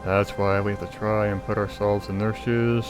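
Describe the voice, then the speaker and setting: A man's voice: lowish voice, level tone, normal speed. A man giving casual commentary over a video game he is playing. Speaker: gamer reading and commenting over gameplay. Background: game music.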